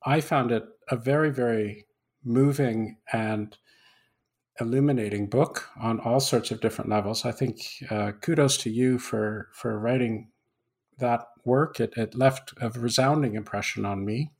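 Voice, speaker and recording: A man hosting an interview, asking curious, polite questions with some halting restarts. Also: medium pitch, gravelly, a little mellow. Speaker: interviewer host.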